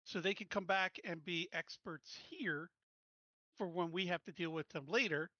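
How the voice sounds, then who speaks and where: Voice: medium pitch, moderate pace, pushed and bright, dry recording, muffled recording. A tabletop roleplaying player speaking casually, joking around and stumbling over words.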